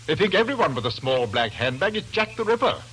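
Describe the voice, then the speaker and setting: An actor playing a sensible, cautious husband with a dry, matter-of-fact manner in 1940s radio drama. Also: male voice, a little high, very animated, fast, fairly bright tone. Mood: matter-of-fact, wary. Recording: noisy old radio broadcast.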